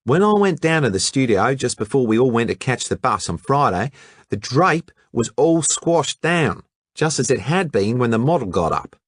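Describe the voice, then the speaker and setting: Male narrator doing brash young Aussie art student, high, loud, slangy, lively. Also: normal speed, dry recording, studio quality. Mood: eager. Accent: Australian. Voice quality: broad colloquial slang.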